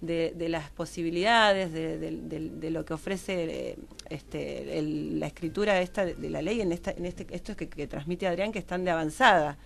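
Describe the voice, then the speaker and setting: A woman's voice: low voice, creaky. A woman with an Argentine accent speaking as a guest in a radio interview, searching for words and repeating herself as she explains. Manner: halting, repetitive, searching for words. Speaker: guest researcher on gender and health law.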